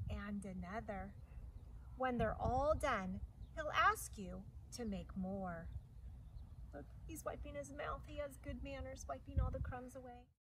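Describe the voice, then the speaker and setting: A middle-aged woman reading a picture book aloud to young children in an animated, deliberate, instructive teacher's manner, with asides to her listeners. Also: fairly high pitch. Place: outdoors on a lawn by a hedge. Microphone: camera a few metres away. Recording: distant mic, outdoor ambient noise.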